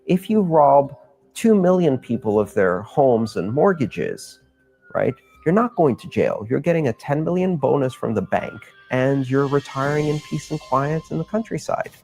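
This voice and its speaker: A man's voice: mid-range voice, very animated, normal speed, vocal fry, a little mellow, soft background music. A man who writes and speaks publicly, giving an argumentative talk and building his points by listing them.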